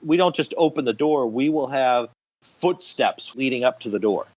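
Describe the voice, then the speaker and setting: A man's voice: medium pitch, lively intonation, normal speed, a little mellow, highs cut off. A man speaking as an interview guest, explaining things conversationally with illustrative anecdotes. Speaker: podcast guest, radio theatre director.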